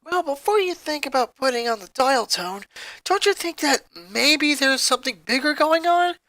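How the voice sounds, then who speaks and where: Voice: high voice, lively intonation, normal speed, bright, forceful tone, no echo, voice pushed up, full character voice. A reader voicing a bossy, exasperated young sister in a story. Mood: urgent, concerned.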